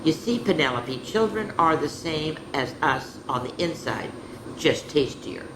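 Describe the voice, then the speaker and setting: A calm, wry father dinosaur, played by a woman reading a storybook, speaking dryly and slowly. The recording is roomy, with noise behind it. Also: deep voice. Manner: calm, deadpan, matter-of-fact.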